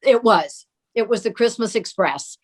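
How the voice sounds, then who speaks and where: A woman's voice: fairly high pitch, animated, measured pace. A mature woman speaking as an interview guest, telling fond family recollections in a casual storytelling style.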